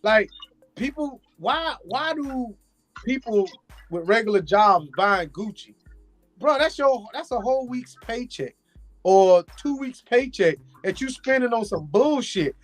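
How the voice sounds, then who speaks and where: A man on a talk show arguing in casual chat, blunt and animated. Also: very high voice, unhurried, faint music behind. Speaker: show regular.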